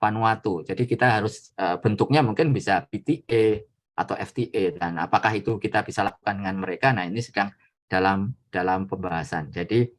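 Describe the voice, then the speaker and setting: A man, a guest lecturer answering questions informally in a hesitant, explanatory way with softening tags. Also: fairly low pitch, a little fast. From Indonesia. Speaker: trade attaché, guest lecturer.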